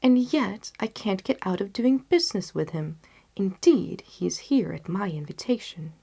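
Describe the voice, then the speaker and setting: A woman's voice: mid-range voice, expressive, moderate pace, vocal fry, warm tone, husky. A woman playing an intense, disgusted male detective in an audiobook, speaking in an emphatic, declamatory way. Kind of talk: audiobook character dialogue.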